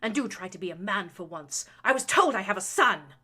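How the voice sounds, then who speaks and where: A woman voicing a domineering, scornful noble mother who scolds her son in a shrill, loud tirade. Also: animated, a little fast, slightly rough. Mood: scolding. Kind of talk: audiobook reading of fan fiction.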